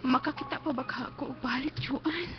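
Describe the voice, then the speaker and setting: A woman's voice: sits high, a little fast, background noise, close to an everyday voice. A woman with a Cebuano accent acting an anguished, pleading blinded patient in a radio drama, drawing out her words emotionally. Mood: anxious, hopeful. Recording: dull lo-fi radio stream, music behind.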